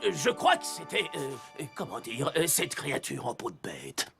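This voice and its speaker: Casting: blustering palace chef, servile to royalty, gravelly and wildly swooping delivery, cartoon dub over music. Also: male voice, mid-range voice, huge pitch swings, normal speed. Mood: abashed, flustered. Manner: blustering, stammering when cowed. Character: flustered, bossy male royal head cook, servile to the king. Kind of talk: animated fairy-tale dubbing. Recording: music behind the voice.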